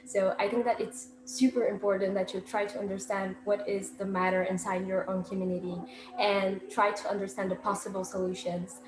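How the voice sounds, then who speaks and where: A woman of twenty-one from Brazil with a Brazilian accent, giving an informal presentation in a halting way as she searches for words. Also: mid-range voice, little pitch movement. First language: Portuguese. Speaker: poet and student speaker. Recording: echoey room with loud background noise.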